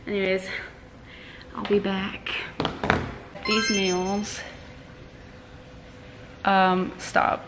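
A woman's voice: medium pitch, level tone, extremely slow, pauses often, a bit bright, echoey room, a little hiss or hum. A young woman in her twenties chatting casually and bubbly in a home vlog, picked up on a camera mic across the room. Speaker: vlogger. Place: bedroom and living room at home.

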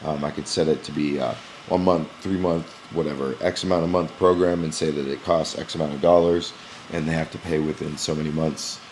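A young man who runs a gym, walking through a software screen recording in a casual, explanatory way with frequent "um" pauses, recorded on a webcam at his desk. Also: rumbling low, steady tone, a little hiss or hum. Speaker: gym owner demonstrating software. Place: small indoor room at a computer. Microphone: webcam in front of the face.

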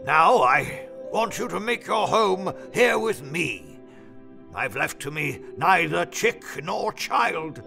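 An older man acting a kindly elderly gentleman in an audio drama, speaking tenderly and deliberately. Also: fairly high pitch, big pitch swings, gravelly, exaggerated voice. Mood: fond, moved. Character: kindly elderly English solicitor giving a heartfelt toast. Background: music.

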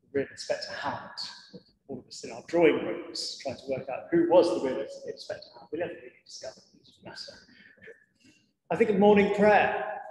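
Vicar preaching in an echoing church. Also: male voice, fairly high pitch, a little mellow. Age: middle-aged. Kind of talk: sermon to a congregation. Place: large church interior, indoors. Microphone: lectern gooseneck microphone. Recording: echoey church hall with long reverb.

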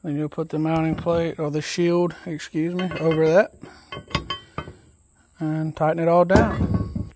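Relaxed DIY man, unhurried and folksy, reading instructions aloud outdoors on a phone. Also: fairly high pitch, noisy background. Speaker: DIY video host. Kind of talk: casual step-by-step assembly walkthrough. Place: outdoors on a wooden deck.

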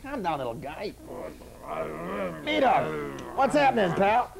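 A swooping, lively voice for a janitor hosting a parody kids' puppet show, played by a young man. He is coaxing and patronizing, with menace under the cheer. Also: high-pitched, takes it slowly, vocal fry, a crowd in the background, exaggerated voice. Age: young adult. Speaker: host. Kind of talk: parody children's TV acting. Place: small indoor puppet-show studio set.

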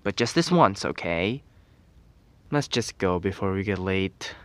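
A man's voice: sits low, big pitch swings, measured pace, no echo. A young man playing a grumpy, teasing tsundere teenage best friend in an ASMR roleplay, scolding in an exasperated, whiny way. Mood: reluctantly forgiving.

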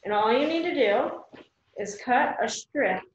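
Higher-pitched American woman in an echoey room. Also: lively intonation, a little slow, muffled recording, slight background noise. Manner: plain, simple step-by-step wording. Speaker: host of a children's craft video. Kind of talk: step-by-step craft instruction. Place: small room at home, indoors.

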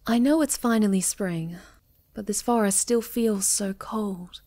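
A woman playing a sweet, affectionate, polite moth monster girl in an intimate ASMR roleplay, gentle and often dropping toward a whisper. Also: mid-range voice, unhurried, natural voice. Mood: affectionate, playful. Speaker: voice actor in an audio roleplay.

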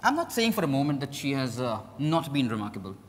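Actor as animated Indian suitor, echoey hall. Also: male voice, medium pitch, fast, lightly acted. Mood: cutting. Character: earnest, polite but cutting male writer in love. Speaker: actor in a staged reading. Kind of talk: dramatized stage reading. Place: on stage in a large hotel ballroom. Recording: echoey ballroom stage, distant hall sound.

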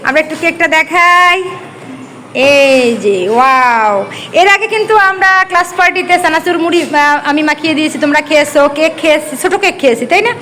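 Female schoolteacher, Bangladeshi accent, very high pitch. Coaxes and instructs small children conversationally, clear background noise of a busy room. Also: a little fast, sharp tone. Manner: coaxing, directing children, often asking questions. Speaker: schoolteacher hosting a class party. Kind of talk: casual chat with young children. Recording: noisy room with children's chatter.

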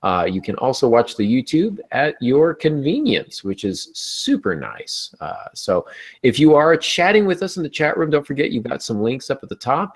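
A middle-aged American man hosting a podcast and explaining things conversationally, speaking from a small room at home on a video call. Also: mid-range voice. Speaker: podcast host and author.

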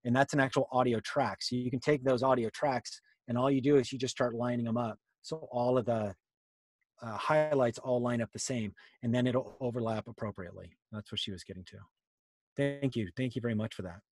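A man in his fifties with a mid-pitched voice leads an online how-to session. He talks casually, thinking aloud as he explains. The sound is dry, from a video call in a small room. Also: a little fast, no echo. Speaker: workshop host.

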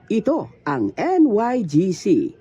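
A man's voice: high voice, huge pitch swings, slow, dark tone, breathy edge, dry recording, muffled recording. A man with a Filipino accent, hosting and announcing for an audio drama programme in radio style. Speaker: channel host and announcer.

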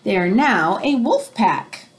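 A woman in her thirties reads a picture book aloud to children in an expressive, teacherly way, pausing to explain things. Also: mid-range voice. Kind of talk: read-aloud storytelling with explanatory asides for children. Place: small room indoors at home. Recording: home recording with background noise.

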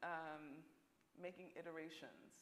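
Woman painter on a panel, keeps restarting and hunting for words, lowish voice, echoey room. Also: little pitch movement, a bit bright. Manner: halting, searching for words. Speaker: panelist artist. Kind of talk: panel discussion. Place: stage of a large auditorium. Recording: echoey auditorium sound.

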